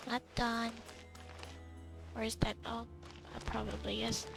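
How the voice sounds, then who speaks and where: A woman's voice: on the high side, level tone, measured pace, vocal fry. A woman doing casual gameplay commentary, muttering to herself and to the game as she plays. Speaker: gamer recording her playthrough. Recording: loud game music over the voice. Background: loud video game music and effects.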